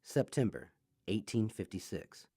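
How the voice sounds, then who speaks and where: A low-key scripted announcer reading out dates between letters in a radio drama. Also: expressive, measured pace, dark tone. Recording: very noisy, clicking audio.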